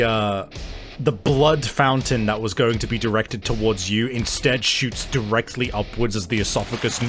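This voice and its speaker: A man's voice: medium pitch, fairly bright tone. A man narrating a tabletop game as game master, casually improvising vivid descriptions and swearing now and then.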